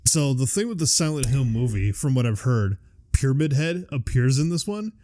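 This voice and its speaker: Man, podcast co-host, mellow relaxed tone, dry close sound, casual rambling explanations, medium pitch. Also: moderate pace, dry recording. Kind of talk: casual chat about games and films.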